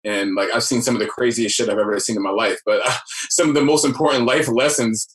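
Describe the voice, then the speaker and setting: A man in his thirties from New York, a guest in a casual interview, talking in a relaxed, reflective way with many fillers. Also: mid-range voice, quick pace, echoey room. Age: 30s. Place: home room.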